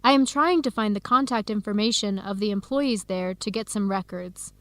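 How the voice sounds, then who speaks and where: An actress with an American accent playing an upbeat young Ethiopian American researcher in a radio drama, speaking in a clear, chatty, expressive way. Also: female voice, medium pitch, moderate pace, dry recording, natural voice. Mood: earnest, explaining. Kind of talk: scripted radio drama dialogue for English learners.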